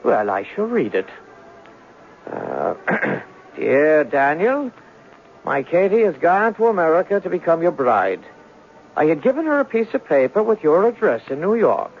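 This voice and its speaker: An actor playing a lovesick, exasperated young Irish immigrant in 1970s radio drama, earnest and stammering with an Irish accent. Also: male voice, on the high side, very animated, unhurried. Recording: muffled, hissy old radio recording.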